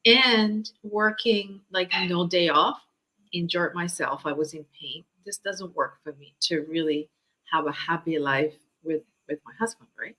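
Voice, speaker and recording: A woman in her fifties with a Japanese accent and a bright, forceful, fairly low voice. She shares her own story as an interview guest, informally, often checking for agreement. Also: a little slow, low-quality recording. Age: 50s. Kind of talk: personal storytelling in conversation.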